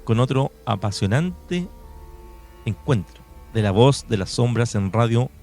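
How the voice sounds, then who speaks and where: A man's voice: mid-range voice, lively intonation, a little slow, darkish tone. A Chilean man co-hosting a paranormal radio show in a conversational studio style. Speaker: radio co-host. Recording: music bed under studio talk. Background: music.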